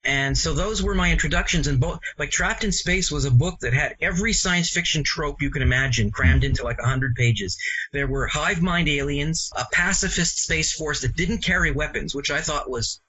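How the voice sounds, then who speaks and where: A man's voice: a little high, moderate pace, pushed and bright, husky. A man in his sixties with a Canadian accent, reminiscing casually as an interview guest in a rambling, self-correcting way. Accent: English-speaking Canadian. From Montreal, Canada. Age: 60s. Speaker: interview guest, comics author. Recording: muffled lo-fi audio.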